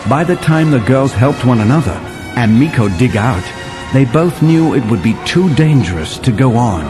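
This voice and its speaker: A man plays a settler telling a children's tale of winter adventure. His mid-pitched voice swings widely and lingers on dramatic pauses, with music playing behind him. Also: lightly acted. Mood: dramatic, awed. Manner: animated, vivid storytelling with dramatic pauses. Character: male settler storyteller recounting a winter adventure to John. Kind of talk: dramatic children's story narration.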